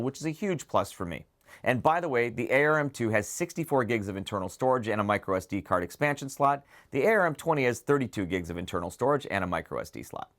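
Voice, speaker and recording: A man's voice: medium pitch, a bit bright. A man hosting a product preview, speaking to viewers in a detailed, enthusiastic, semi-scripted way. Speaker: presenter of an audio gear preview show.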